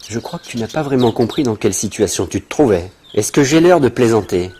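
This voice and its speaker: An actor playing a menacing, mocking brigand leader who bosses and sneers, in a French-dubbed cartoon. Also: male voice, medium pitch, expressive, brisk, warm tone, husky. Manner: bossy, threatening, sneering. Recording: faint noise, birdsong behind.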